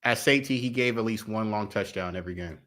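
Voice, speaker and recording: A man's voice: on the low side, fast, fairly bright tone. A man giving blunt, slangy opinions in a casual sports live-stream chat. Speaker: co-host or guest on a sports fan stream.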